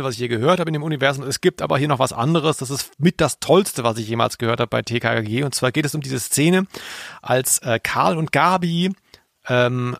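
A man's voice: medium pitch, creaky, a bit bright, no room sound, studio quality. A man talking casually and humorously as a podcast host. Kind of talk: casual humorous chat about audio plays.

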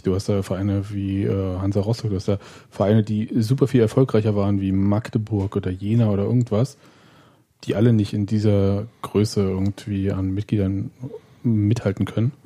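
A man's voice: on the low side, little pitch movement, moderate pace, warm tone. A man hosting a podcast, chatting casually in conversational German.

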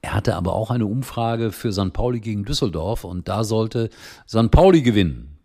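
An older man hosting a casual daily football talk show, chatty and rambling with lots of fillers, a little hoarse from a cold. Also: fairly low pitch, animated, moderate pace, darkish tone. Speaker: football commentator and show host.

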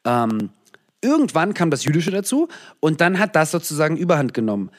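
A man from the former Soviet Union talks as an interview guest, searching for his words with pauses and restarts. His voice sits a little higher than usual for a man. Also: very animated. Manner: thoughtful, searching, frequent fillers and restarts. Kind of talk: reflective interview answers.

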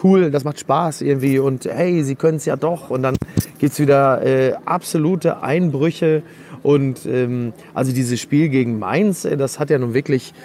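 A man's voice: mid-range voice, unhurried, darkish tone. A man co-hosting a football podcast, giving his views in casual, conversational talk.

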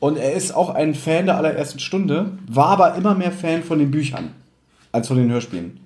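German guy on a podcast, slightly high voice, explains stuff, roomy echo. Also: lively intonation, brisk, a little mellow. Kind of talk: casual podcast chat with explanatory background.

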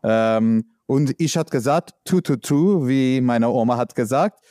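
A man from the United States with an American accent, speaking in a halting, searching way with many fillers, answering as an interviewee. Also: medium pitch, warm tone, no echo. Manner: halting non-native speech with many fillers. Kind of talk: conversational interview.

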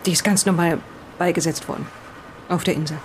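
A woman's voice: lowish voice, fairly even intonation, a little fast, a little hiss or hum, close to an everyday voice. A woman playing an earnest, curious married woman who talks thoughtfully and a little hesitantly in an improvised in-character audio drama. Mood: thoughtful, explaining.

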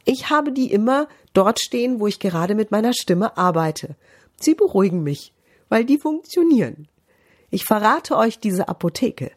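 A middle-aged woman, a vocal coach, giving advice on a podcast and swooping high and low in pitch to show how voices sound. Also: husky.

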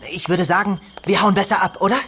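An actress playing an eager but cautious little toy figure leading its friends in a children's radio play. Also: female voice, medium pitch, steady tone, fast, fairly bright tone, a little hiss or hum, lightly acted. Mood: nervous.

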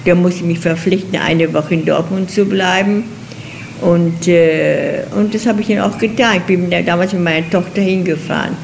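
An older woman from Germany recalling her life in an interview, halting and often repeating herself. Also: lowish voice, fairly even intonation, gravelly, darkish tone. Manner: halting, repeats words and restarts. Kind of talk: recorded interview, personal recollection. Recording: noisy archival interview recording.

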